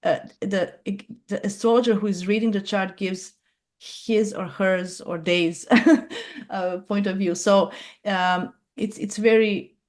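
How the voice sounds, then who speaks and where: Woman talking haltingly. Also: mid-range voice, a little mellow. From Croatia. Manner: halting, many fillers and restarts, searches for words. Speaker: astrologer hosting a group chat. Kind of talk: casual group video chat.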